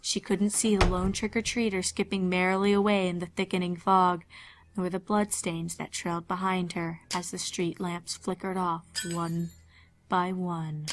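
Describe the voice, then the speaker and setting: A woman's voice: lowish voice, little pitch movement, moderate pace, noisy background. A woman giving a scripted narration of a fan fiction horror story.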